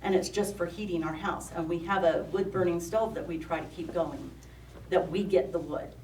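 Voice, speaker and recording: An older woman with a loud voice giving an emphatic, frustrated public comment at a county meeting. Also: fairly low pitch, normal speed. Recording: echoey room, distant mic, faint noise.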